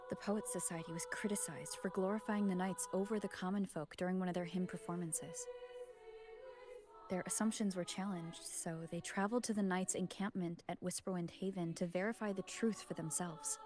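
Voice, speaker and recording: An actress playing a composed female narrator in a video game, recounting a record in a measured, sober way. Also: fairly low pitch, steady tone, lightly acted. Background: music.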